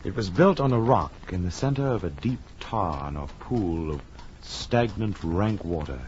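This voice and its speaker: An actor playing an uneasy, well-bred gentleman in a gothic horror radio drama of the 1940s, formal and dramatic. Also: low voice, lively intonation, measured pace. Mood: dread, brooding. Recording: muffled, faintly noisy old radio recording.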